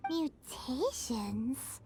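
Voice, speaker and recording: A woman voicing a tiny, excitable, childlike girl companion in an anime-style video game, squeaky and exclamatory. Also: extremely slow, full character voice. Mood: puzzled, sympathetic. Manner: speaks of self in third person, exclamatory. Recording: loud game music behind.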